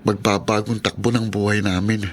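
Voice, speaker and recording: A man's voice: fairly low pitch, little pitch movement, normal speed, close to an everyday voice. A man playing a sickly, humble young student in a radio drama, speaking in a weak, hesitant, deferential way. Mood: humble, grateful. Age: young adult. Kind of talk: Filipino podcast radio drama acting.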